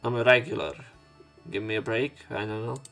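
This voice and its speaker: A man's voice: mid-range voice, fairly even intonation, a little fast, bright, forceful tone. A man hosting a let's play, reading a visual novel aloud with casual commentary, often stumbling over words and correcting himself. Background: game music behind the voice.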